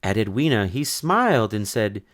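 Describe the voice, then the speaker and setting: Deep-voiced man reading a rhyming storybook. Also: very animated, fairly bright tone, no echo, studio quality. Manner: measured, sing-song verse delivery. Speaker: storybook reader. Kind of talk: expressive rhyming children's story read-aloud.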